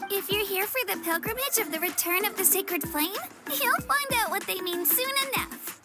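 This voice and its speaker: Earnest young warrior girl, very high-pitched. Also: animated, normal speed, over loud music. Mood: friendly, explanatory. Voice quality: youthful, girlish. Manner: earnest, self-effacing, hesitant. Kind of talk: video game character voice acting.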